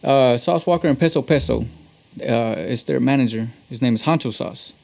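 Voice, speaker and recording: A man's voice: mid-range voice, moderate pace, creaky, a little mellow. A man chatting casually and in slang as an interview guest, a musician by trade. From Texas. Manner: slangy, conversational. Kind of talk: casual chat.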